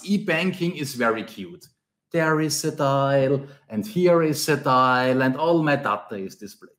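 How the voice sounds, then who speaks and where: Austrian-accented male tech speaker. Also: medium pitch. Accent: Austrian German. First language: German. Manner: explanatory, repeats key phrases, non-native phrasing. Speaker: conference speaker, Angular trainer and consultant. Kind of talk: conference talk.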